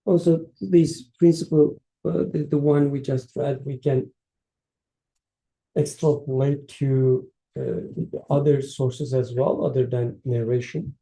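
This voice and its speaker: Male discussant, unhurried and reflective, dark tone, roomy echo. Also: medium pitch, steady tone. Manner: thoughtful, hesitant, with fillers and restarts. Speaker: discussion participant, researcher. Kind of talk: casual study-circle discussion.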